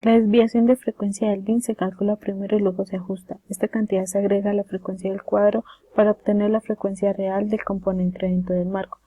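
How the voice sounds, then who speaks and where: A woman's voice: medium pitch, flat delivery, a little fast, mellow, breathy edge, no room sound, poor audio. A woman presenting a scripted technical lesson in a formal, methodical way, reading it out step by step. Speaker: presenter explaining signal processing.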